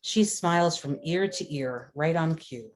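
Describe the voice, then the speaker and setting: A woman's voice: low voice, a little slow. A woman reading stage directions and scene descriptions as scripted narration at a table read.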